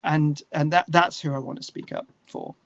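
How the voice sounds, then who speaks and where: Higher-voiced man, earnest and halting. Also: huge pitch swings, creaky, a bit bright, no echo. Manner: earnest, halting, with emphatic stresses. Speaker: guest, singer-songwriter. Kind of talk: conversational interview, reflective. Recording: slightly dull, lo-fi conversation audio.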